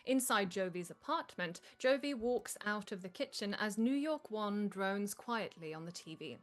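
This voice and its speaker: A woman's voice: mid-range voice, expressive, normal speed. A woman reading stage directions as scripted narration at a live table read.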